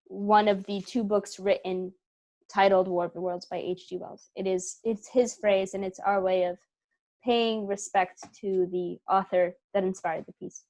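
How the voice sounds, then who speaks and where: A young woman with a medium-pitched voice speaks as an interview guest. She explains things conversationally and carefully, pausing between phrases as she puts her thoughts together. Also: rough recording. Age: young adult. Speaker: theater performer and interview guest.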